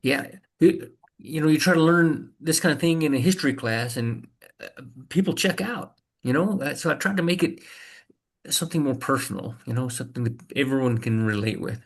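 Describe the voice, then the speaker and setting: A man who is a screenwriter, talking informally and haltingly in a feedback discussion about his work. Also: medium pitch, animated, vocal fry.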